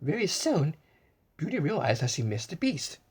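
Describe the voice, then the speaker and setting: A man reads a storybook aloud with lots of animation, his pitch bouncing high and low. His voice is a little husky, and he gasps, stumbles and repeats words as he chimes in with his own asides. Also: very animated, moderate pace.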